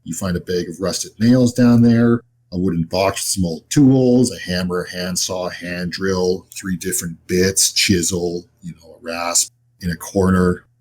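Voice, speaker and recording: A man's voice: low-pitched, fairly even intonation, unhurried, darkish tone. A man narrating as game master of a tabletop horror roleplaying game, describing scenes in a conversational, improvised way. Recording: music behind the voice. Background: music.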